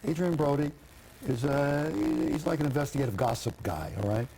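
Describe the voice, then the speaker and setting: A man's voice: medium pitch, expressive, brisk, dark tone, a little hiss or hum, rough recording. A man hosting a morning television show, chatting casually in a celebrity interview.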